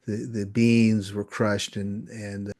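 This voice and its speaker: A man's voice: lowish voice, little pitch movement, slow, a little mellow. A man hosting an interview show, asking a guest questions with friendly short reactions. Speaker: podcast host.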